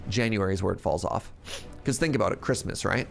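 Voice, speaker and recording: A man's voice: low voice, fast, creaky, over music. A man streaming a game, chatting casually and rambling between laughs, sounding sniffly and congested. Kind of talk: casual live-stream chat while gaming.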